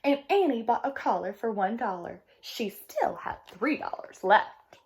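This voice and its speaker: High, animated woman reading aloud. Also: measured pace, slightly rough. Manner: animated, addresses young listeners directly. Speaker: teacher reading aloud to children. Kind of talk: expressive picture-book read-aloud.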